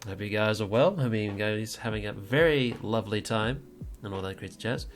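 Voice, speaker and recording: A man's voice: fairly low pitch, moderate pace. A man hosting a casual livestream podcast, rambling and teasing as he introduces his friends. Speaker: podcast host.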